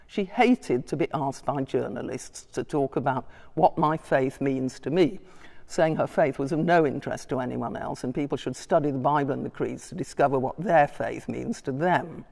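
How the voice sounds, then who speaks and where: An older woman giving a scholarly talk from her notes, measured, with small hesitations and asides. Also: low-pitched, creaky, warm tone. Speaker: lecturer. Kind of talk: public talk reading from notes. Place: large church interior. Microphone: distant room mic. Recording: long church reverb.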